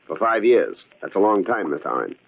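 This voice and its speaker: Radio thriller killer: low, husky, calculating, mock-polite, on a dull old recording. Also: male voice, very animated, exaggerated voice. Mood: menacing, gloating. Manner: taunting, deliberate, mock-polite. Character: cold, gloating male murderer, a resentful long-serving employee.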